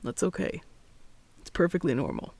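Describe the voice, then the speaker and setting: A woman voicing a calm, reassuring anime boy with a matter-of-fact delivery. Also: on the low side, moderate pace, vocal fry, no echo, voice pushed down, exaggerated voice. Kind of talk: anime voice acting.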